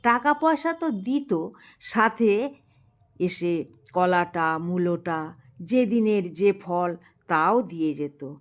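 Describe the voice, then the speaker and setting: Storybook role: hard-up male town doctor read by a woman, slow, plaintive, colloquial. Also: on the low side, lively intonation. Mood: aggrieved. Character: struggling male town doctors, aggrieved, broke, complaining to colleagues.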